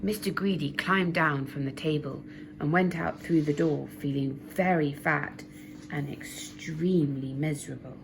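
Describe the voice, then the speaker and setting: A woman narrating a children's story expressively, with deliberate, dramatic emphasis. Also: sits low. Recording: noisy amateur recording, clear background noise.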